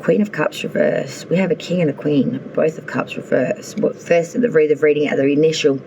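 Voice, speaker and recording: A woman's voice: low voice, steady tone, a little fast, creaky, dark tone, dull audio, slight background noise. A woman doing a casual tarot reading, chatty and thinking aloud as she draws cards, with an offhand swear now and then. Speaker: tarot reader.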